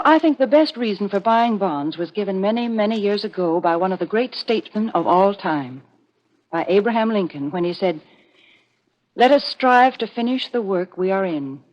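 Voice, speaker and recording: Casting: female sponsor announcer, earnest and persuasive, mid-range, on a muffled old-time radio recording. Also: medium pitch. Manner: folksy, earnest, persuasive sales delivery. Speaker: sponsor announcer and show regular. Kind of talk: 1940s radio advertising read. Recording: muffled vintage 1940s radio.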